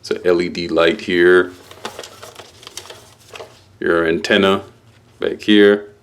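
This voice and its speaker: Slow, low-voiced man reviewing gear. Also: flat delivery, slight background noise. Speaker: product reviewer. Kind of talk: casual unboxing walkthrough.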